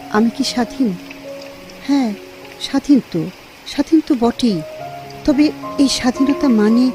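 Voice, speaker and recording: A woman's voice: medium pitch, close to an everyday voice. A woman acting a lonely, devoted housewife in a Bengali radio drama, speaking expressively and tenderly.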